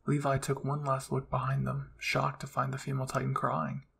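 A man's voice: medium pitch, monotone, moderate pace, vocal fry, fairly bright tone, a little hiss or hum. A man reading scripted fan fiction aloud as a storyteller. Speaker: storyteller, channel host.

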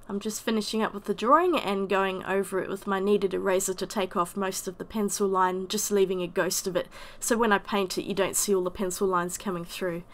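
Croaky woman narrating her painting. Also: mid-range voice, a bit bright. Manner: chatty, self-deprecating. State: croaky from a summer cold. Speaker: watercolour artist. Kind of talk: voice-over narration of a time-lapse painting.

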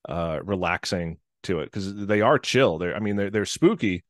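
A man's voice: low voice, animated, moderate pace, dry recording, studio quality. A man in his forties with a nasal voice, chatting as a podcast guest over a video call. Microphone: headset and podcast microphone on video call.

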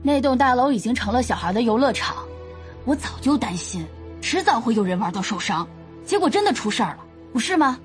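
A woman's voice: on the high side, sing-song, a little fast, faint music behind. An actress playing a chatty, fretful female snack-shop owner of about fifty in an audio drama, grumbling as she talks. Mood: worried, gossipy.

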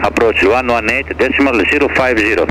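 A man's voice: medium pitch, fairly even intonation, fast. A man with a Greek accent, an air traffic controller giving hesitant procedural instructions over aviation radio. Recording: noisy aviation radio heard in cockpit.

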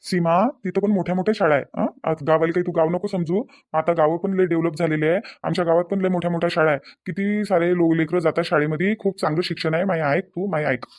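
A man's voice: a little high, steady tone, fast talker, few pauses, close to an everyday voice. A man playing an earnest, dutiful husband and son in a cartoon, coaxing and repeating himself in a Varhadi Marathi accent from Vidarbha. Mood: persuasive. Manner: repetitive, reasoning, persuasive. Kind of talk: cartoon dialogue. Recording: dull, lo-fi sound.

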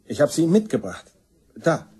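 An actor playing a resentful, self-excusing murder suspect in a crime radio play, talking in a colloquial, defensive way. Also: male voice, on the high side, sing-song, moderate pace, darkish tone, breathy edge, heavily acted. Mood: sheepish.

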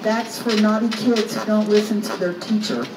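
A woman's voice: medium pitch, steady tone, normal speed. A woman working as a tour guide, narrating a ride in an upbeat, jokey way and quizzing the riders. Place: open-air tram ride outdoors. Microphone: recorder's phone, guide some distance away. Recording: distant echoey voice over loud outdoor noise.

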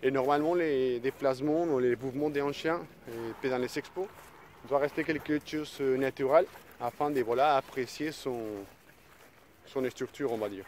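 A man's voice: mid-range voice, moderate pace, darkish tone. A man in his thirties, a dog trainer giving a casual spoken tutorial outdoors in a park, talking with many fillers and restarts into a phone held at arm's length. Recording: faint outdoor background noise.

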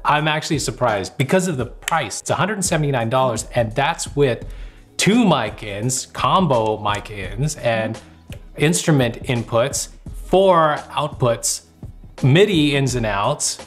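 A man's voice: mid-range voice, lively intonation, unhurried, fairly bright tone. Man presenting music gear news, music behind. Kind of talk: scripted news narration and casual product review. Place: home music studio, indoors.

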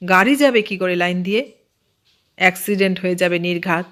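A woman voicing an excitable, boastful, easily flustered uncle who works on the railway, in a storybook reading. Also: sits high, fairly quick. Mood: alarmed, scolding.

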